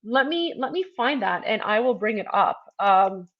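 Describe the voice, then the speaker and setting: A woman's voice: on the high side, animated, moderate pace, muffled recording. A woman in her forties hosting her own show, talking passionately and emphatically in casual commentary with many fillers and repetitions. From Iran. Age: 40s. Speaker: show host.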